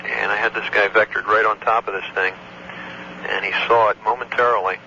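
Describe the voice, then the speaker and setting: A man, an air traffic control supervisor, recounting an incident in a matter-of-fact, halting way on an old taped phone call. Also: lowish voice, fairly even intonation, pushed and bright. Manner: matter-of-fact, halting recall with technical detail. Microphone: telephone.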